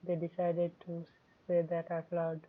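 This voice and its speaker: A woman game streamer reacting casually as she plays, muttering halting asides. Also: lowish voice, monotone. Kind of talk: casual live gameplay reaction. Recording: dull stream mic with background noise.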